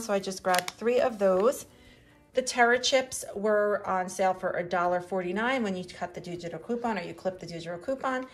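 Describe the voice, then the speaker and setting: A woman's voice: medium pitch, slight background noise. A woman chatting casually through a grocery haul, steadily listing items and what each one cost. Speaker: vlogger.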